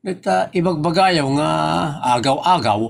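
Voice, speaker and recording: A man's voice: on the high side, lively intonation, unhurried, creaky. A man playing a teasing nephew in a comedy audio drama, talking in a playful way. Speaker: nephew teasing his aunt.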